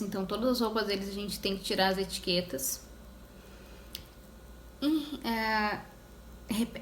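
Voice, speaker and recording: A young woman telling her story as a mother in a casual vlog, talking in a conversational way with many pauses and restarts. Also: medium pitch. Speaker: mother sharing her experience. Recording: echoey room with faint noise.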